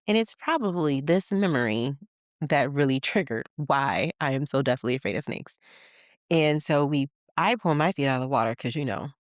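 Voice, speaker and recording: A woman from Michigan hosting a podcast, telling childhood stories in a chatty, animated way and laughing as she goes. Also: deep voice, no echo, clean studio sound. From Michigan, USA. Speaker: podcast host. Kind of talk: casual personal storytelling.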